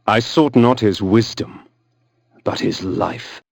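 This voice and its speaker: An actor voicing a cynical, aristocratic vampire antihero, narrating a dark video game with eloquent, theatrical disdain. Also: male voice, on the low side, slow pace, creaky, heavy background noise. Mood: cynical, brooding. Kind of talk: dramatic scripted game narration.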